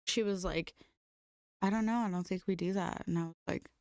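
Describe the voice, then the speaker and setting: An American woman co-hosting a casual podcast, telling stories in an animated, slangy way with plenty of swearing. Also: fairly low pitch, fairly quick, dry recording, clean studio sound. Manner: animated, profane, slangy storytelling. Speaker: podcast co-host. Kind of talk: casual chat, reading funny stories aloud.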